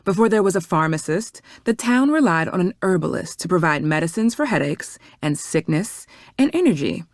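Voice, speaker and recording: An actress playing a guarded, defensive young herbalist shopkeeper in a video game, curt and suspicious in manner. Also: female voice, fairly low pitch, animated, normal speed, no echo, studio quality. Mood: guarded, explanatory.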